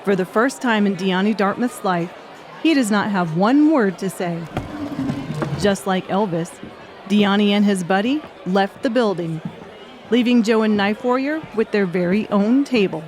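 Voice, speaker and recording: A woman's voice: mid-range voice, measured pace. A woman reading scripted narration of a comic novel as an audiobook narrator. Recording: music and crowd noise behind. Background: music and bar crowd sounds.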